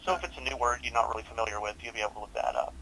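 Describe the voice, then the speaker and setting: Young male tech support rep, brisk delivery, mid-pitched, polite and helpful on a headset call. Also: steady tone, vocal fry, bright, forceful tone, poor audio. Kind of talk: customer service walkthrough. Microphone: headset on a video support call.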